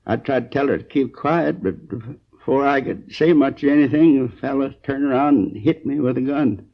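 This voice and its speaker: A gravelly old American man in his sixties, a hurt and drowsy storekeeper. He rambles in a halting, folksy, earnest way, on a dull 1950s crime drama recording. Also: mid-range voice. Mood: groggy, hazy. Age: 60s. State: groggy, in pain.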